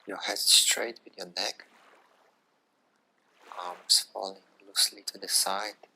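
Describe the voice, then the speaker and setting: A man guiding a yoga relaxation meditation in a hushed, soothing manner, in short phrases with long pauses. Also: deep voice, little pitch movement, creaky, husky, low-quality recording. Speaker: yoga nidra guide.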